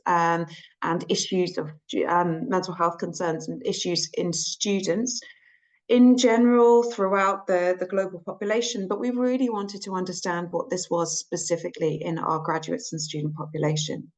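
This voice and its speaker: A woman speaking as a clinical psychologist in a webinar, her voice croaky today, explaining findings in a measured way with frequent ums. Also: mid-range voice, low-quality recording. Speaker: clinical psychologist presenting research. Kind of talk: webinar presentation.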